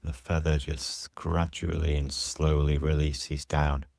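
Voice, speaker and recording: A man guiding a yoga nidra meditation as a teacher, soothing and unhurried, leaving pauses between short phrases. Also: a bass voice, level tone, creaky, darkish tone, no room sound. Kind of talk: guided meditation instruction.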